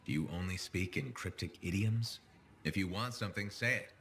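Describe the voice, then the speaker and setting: An actor playing a sceptical, confident mercenary pilot hero in a video game, with a blunt, dry manner. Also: male voice, low voice, moderate pace, fairly bright tone, a little hiss or hum.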